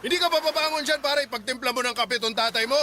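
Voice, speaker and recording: Radio drama: bitter middle-aged dad played by a man, high sharp voice, defensive and demanding, music under. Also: fast talker, slight background noise. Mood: threatening. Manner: defensive, grumbling. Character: bitter, demanding widowed father, jobless and hard-drinking. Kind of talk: Filipino radio drama acting.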